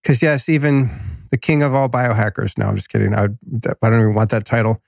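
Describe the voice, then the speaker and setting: A man's voice: medium pitch, steady tone, fairly quick, creaky, darkish tone, no room sound, clean studio sound. A man hosting a podcast, talking in a laid-back, slangy, enthusiastic way, slightly nasal from a cold. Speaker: podcast host. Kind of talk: casual podcast intro and ad reads.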